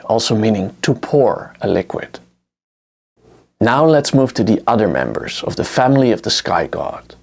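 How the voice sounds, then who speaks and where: A man with a Dutch accent, a native Dutch speaker, narrating a scripted history lesson in an explanatory way. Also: medium pitch. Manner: explanatory, lively intonation. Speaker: history lecturer.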